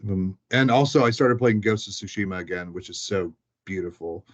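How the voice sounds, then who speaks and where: A man's voice: sits low, moderate pace, dull audio. A man co-hosting a podcast, talking casually in a rambling, self-correcting way. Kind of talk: casual chat.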